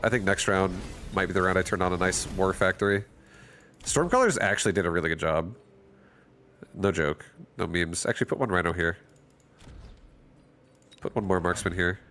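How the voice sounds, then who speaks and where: A man's voice: sits low, a bit bright, a little hiss or hum. A man casually thinking aloud and commenting on his own strategy game as he streams it. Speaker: gaming streamer.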